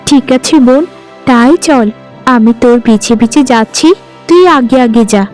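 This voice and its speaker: A woman plays a kind, long-suffering young sister in a cartoon folk tale. Her high voice is gentle, earnest and submissive, with music behind it. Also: normal speed, close to an everyday voice. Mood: obedient. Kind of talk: animated folk-tale dialogue.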